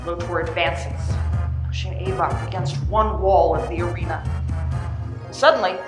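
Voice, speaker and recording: A woman reading scripted narration for a radio play. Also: medium pitch. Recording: echoey live room, faint music.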